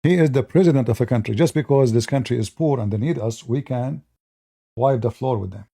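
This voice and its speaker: A man speaks as a with a mellow, relaxed tone. He delivers rambling, mocking political commentary straight to his viewers and often restarts his sentences. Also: mid-range voice, fairly quick.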